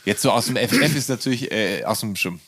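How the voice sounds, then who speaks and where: A man's voice: on the low side, fast, fairly bright tone, no room sound, studio quality. A man hosting a conversational interview, phrasing his questions in a wordy, hesitant way. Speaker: interviewer, host.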